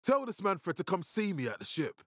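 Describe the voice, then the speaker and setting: An actor playing a stern, resolute, fatherly militia leader in a video game, speaking with measured, deliberate authority in a husky voice. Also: sits high, big pitch swings, no room sound, studio quality. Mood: annoyed.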